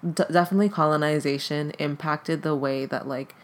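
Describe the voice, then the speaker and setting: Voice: mid-range voice, fairly even intonation, a little fast. A podcast guest whose first language is Tagalog, talking casually in a hesitant way and filling nearly every phrase with "like.". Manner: hesitant, heavy use of "like" fillers. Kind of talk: casual conversation.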